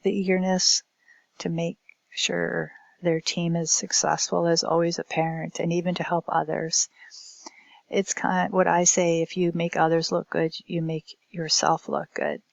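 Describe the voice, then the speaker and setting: Woman, language instructor, halting presentation delivery, creaky voice, dry close sound. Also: lowish voice, flat delivery, no room sound, lo-fi sound. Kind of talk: recorded academic presentation.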